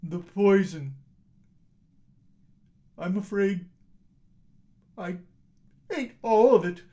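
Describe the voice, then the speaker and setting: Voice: medium pitch, big pitch swings, slow, mellow. A storyteller voicing a lazy, bewildered husband in a folk tale, plaintive and a little raised. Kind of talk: storybook character voice.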